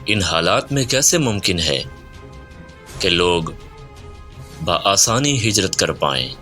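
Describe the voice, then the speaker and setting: A doubtful man in an audio drama, voiced by a man, asking hesitant questions slowly in a deep, lively, bright voice. Faint music plays behind him. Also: heavily acted.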